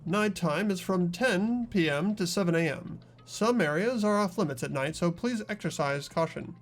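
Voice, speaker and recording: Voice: medium pitch, expressive, normal speed. A player narrating and voicing the lead in a fan dub, plain and earnest. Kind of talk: fan voice acting of a game script. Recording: faint music behind. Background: faint game music.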